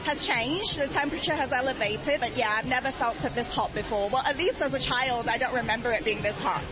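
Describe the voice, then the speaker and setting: A woman's voice: fairly high pitch, a little fast, crowd noise. A woman from Hong Kong talking casually in a street interview. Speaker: interviewed member of public. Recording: dull, noisy busy street.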